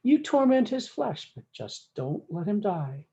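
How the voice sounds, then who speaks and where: A woman's voice: mid-range voice, sing-song, measured pace, warm tone, highs cut off, voice pushed up. A woman voicing a commanding, confident cowboy boss from a storybook, speaking in bold folksy phrases. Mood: firm.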